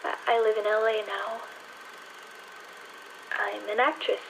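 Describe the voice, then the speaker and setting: A woman's voice: mid-range voice, level tone, vocal fry. An actress playing a shy, nervously hopeful aspiring film star in her twenties, speaking hesitantly in an audio drama. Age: 20s. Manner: hesitant, halting, giggly. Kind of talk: audio drama acting, screen test monologue. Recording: noisy whirring film projector sound.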